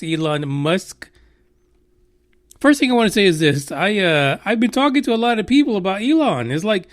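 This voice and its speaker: A man's voice: sits high, no room sound, studio quality. A man hosting a podcast, ranting casually with lots of swearing and rambling asides. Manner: exasperated, profane, rambling. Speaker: podcast host. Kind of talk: casual solo podcast rant.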